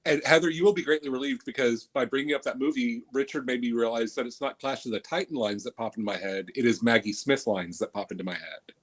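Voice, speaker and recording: A man's voice: mid-range voice, quick pace, slightly rough. Campy man quoting film lines. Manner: campy, playful, quotes film lines with relish. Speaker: podcast co-host. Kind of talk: casual chat.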